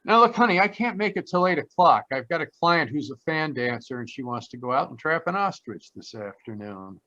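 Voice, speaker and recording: Wisecracking male private eye played by a man, radio comedy style; punchy bright tone, lo-fi recording. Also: fairly high pitch, expressive, brisk. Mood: smug. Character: wisecracking, cocky, flirtatious male private detective. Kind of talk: old-time radio detective comedy acting. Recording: dull, lo-fi video-call audio.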